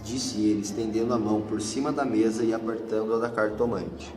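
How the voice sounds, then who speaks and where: Brazilian male narrator for radio drama, lowish pitch, reverberant room sound, scripted delivery. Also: steady tone, a little fast. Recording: echoey hall, long reverb, music bed. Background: music.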